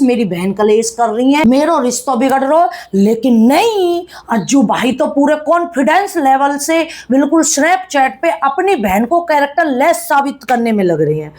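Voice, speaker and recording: A woman's voice: high-pitched, darkish tone. A woman, giving casual, blunt, chiding gossip commentary to viewers in colloquial speech. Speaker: YouTube commentator.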